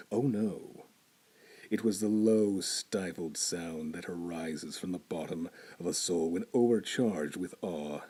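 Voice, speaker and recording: A man giving a dramatic reading of a horror story, moving between hushed whispers and loud intensity. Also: deep voice, slightly rough.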